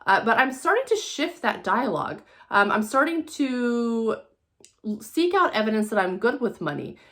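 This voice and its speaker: A woman's voice: on the high side, very animated, normal speed. A woman giving a casual, conversational motivational talk as a vlogger, repeating phrases for emphasis.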